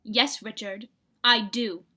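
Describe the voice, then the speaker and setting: A woman's voice: fairly high pitch, fairly even intonation, slow pace, noisy background. A woman playing a jealous, bitter invalid wife, imperious and accusatory, in a 1940s radio drama style. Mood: accusing, commanding.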